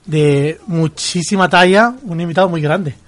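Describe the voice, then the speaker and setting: Higher-voiced male radio host. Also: unhurried. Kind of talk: casual radio talk.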